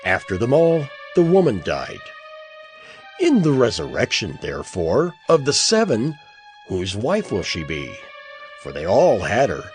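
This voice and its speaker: Sly Sadducee questioner, probing, rhetorical, faint music behind. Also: male voice, on the low side, wildly swooping pitch, a little slow.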